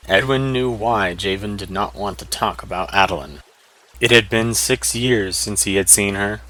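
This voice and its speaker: A man narrating a fantasy short story in an even, descriptive manner. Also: fairly low pitch, normal speed, poor audio.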